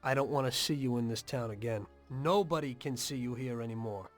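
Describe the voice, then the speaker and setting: An actor playing a weary, conflicted mobster with a conscience in a video game drama, brooding and blunt. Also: male voice, medium pitch, brisk, soft background music, lightly acted. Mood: stern, warning.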